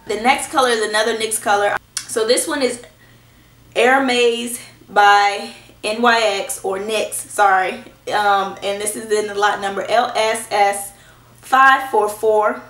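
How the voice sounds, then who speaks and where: Brief: hoarse young woman, casual beauty vlog, chatty and reassuring, roomy camera sound. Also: medium pitch, steady tone, a little slow, a bit bright. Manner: chatty and reassuring, self-teasing. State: hoarse from a cold. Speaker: beauty vlogger. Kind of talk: casual product review. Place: small indoor room at home. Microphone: camera in front at close range. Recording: echoey room.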